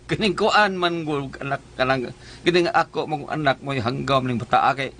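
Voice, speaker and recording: An actor playing an excitable man in a rowdy quarrel in a Philippine radio drama. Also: mid-range voice, normal speed, natural voice. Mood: agitated.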